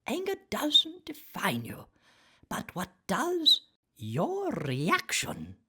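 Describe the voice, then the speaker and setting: A woman voicing an encouraging storybook mentor with a raspy voice, teaching in rhyme. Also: mid-range voice, wildly swooping pitch, drawn-out words, pushed and bright, voice pushed up, exaggerated voice. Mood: wise, emphatic.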